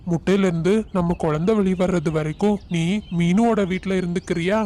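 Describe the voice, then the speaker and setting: A man voicing a lazy, carefree husband crow with a casual, persuasive manner in an animated moral story. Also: sits high, a little fast, lightly acted. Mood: persuading.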